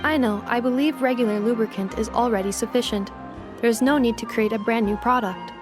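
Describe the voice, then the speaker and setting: Female robot companion played by a woman, fairly high voice, polite, exact, matter-of-fact, game dialogue over music. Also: fairly quick, lightly acted. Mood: unruffled, dry. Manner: precise, formal, unruffled. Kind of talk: video game voice acting. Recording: background music behind the voice.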